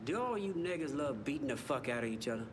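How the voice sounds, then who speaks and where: An actor playing a crude, hostile mob thug, talking in a blunt, sneering way. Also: male voice, medium pitch, animated, fast talker, lightly acted. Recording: clear noise and clicks behind.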